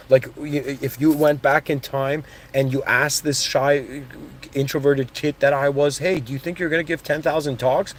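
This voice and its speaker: A man's voice: mid-range voice, a little hiss or hum. A man born in Iran and raised in Canada, giving a casual, rambling motivational talk full of restarts and asides. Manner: rambling, with restarts and "you know what I mean". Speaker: motivational speaker.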